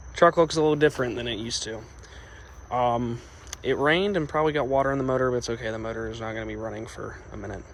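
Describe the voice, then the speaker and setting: Young male vlogger, raspy from just waking up, mid-pitched, rambling with fillers, phone audio with faint background noise. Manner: rambling, filler-heavy, self-correcting. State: just woke up. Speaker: truck restoration vlogger. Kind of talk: casual garage vlog. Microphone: phone camera.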